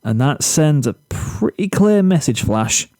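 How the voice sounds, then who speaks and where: A man's voice: fairly high pitch, sing-song, a little slow, dark tone, no room sound, clean studio sound. A man voicing a cocky, oblivious teenage boy, whiny and smug, in a story reading. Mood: earnest. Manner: smug, complaining.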